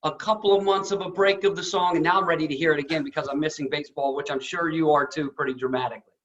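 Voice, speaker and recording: A man's voice: fairly high pitch, steady tone, quick pace, a bit bright, muffled recording. A man hosting a show, interviewing a guest in casual, upbeat chat. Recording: very echoey room, faint music.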